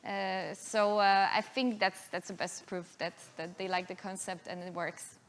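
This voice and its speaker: Polish-born founder, 30s, female; hesitant investor-pitch delivery, lots of "uh". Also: steady tone, measured pace, a little hiss or hum. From Poland. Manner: hesitant, many uh and um fillers.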